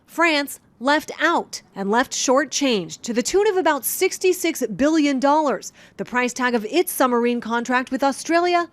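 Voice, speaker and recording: A woman's voice: sits high, expressive, a little slow, hardly any pauses, no room sound. A woman delivering a scripted broadcast news report as a correspondent.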